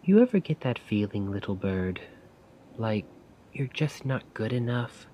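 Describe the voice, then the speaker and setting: A man playing a weary, self-doubting failed poet in a soft-spoken, rambling ASMR roleplay monologue. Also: on the low side, very animated, a little hiss or hum. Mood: despairing, self-doubting. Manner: slow, brooding, rambling. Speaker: roleplay audio performer.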